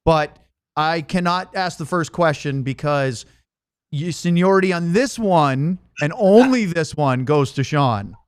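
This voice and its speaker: A man's voice: a little high, expressive, measured pace, clean tone. A man hosting a sports podcast in casual chat, speaking with enthusiasm and readily giving compliments. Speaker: podcast host.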